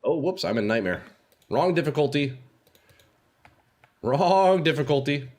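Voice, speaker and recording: A man's voice: on the high side, lively intonation, a little slow, a little mellow. A young man streaming a game live with a stuffy nose, chatting with viewers in a laid-back, slangy way at a desk microphone.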